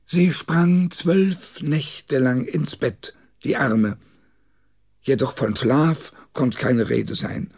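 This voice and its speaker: A man reading a rhymed comic poem for children aloud, expressively and in a deliberate storytelling manner. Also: mid-range voice, darkish tone, husky. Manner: measured, deliberate storytelling delivery.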